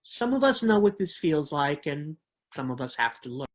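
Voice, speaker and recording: A woman reads children's books aloud in a fairly low voice. Her storytelling is expressive and measured, in the manner of a dramatic reading.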